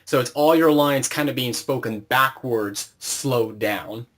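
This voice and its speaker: A man hosts a casual interview in a middle-pitched voice. He talks informally, setting up questions and explaining details as he goes. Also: a little slow, fairly bright tone. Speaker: interviewer and series creator.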